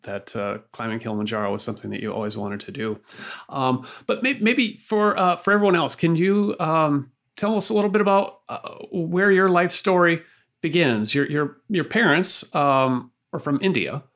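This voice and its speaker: A man hosting a podcast, chatty and animated, laughing easily as he tells stories and asks questions. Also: medium pitch. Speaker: podcast host. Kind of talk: podcast interview.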